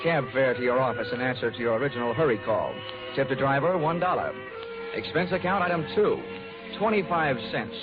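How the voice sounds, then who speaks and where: An actor playing a cocky, wisecracking American insurance investigator in an old-time radio detective drama, with a wry, punchy delivery. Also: male voice, mid-range voice, fairly quick, over music, lightly acted. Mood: dry, wry. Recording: dull vintage radio recording.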